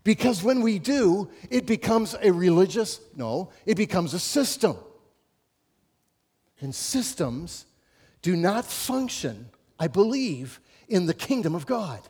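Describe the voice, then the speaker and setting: A man preaching to his congregation in a somewhat high voice. He presses his points with rising emphasis and then settles into calm, explanatory teaching. He addresses the listeners directly as friends. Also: takes it slowly, slightly rough. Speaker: pastor. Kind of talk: sermon.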